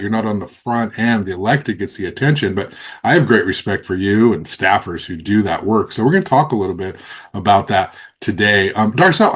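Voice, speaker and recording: A man hosting a casual interview, asking long friendly questions with many fillers and restarts. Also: on the low side. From California. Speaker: podcast host, interviewer.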